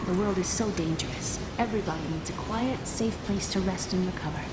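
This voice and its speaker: A woman's voice: fairly low pitch, fairly quick, heavy background noise, lightly acted. A young woman voicing an earnest, curious scholar with a dry wit. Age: young adult.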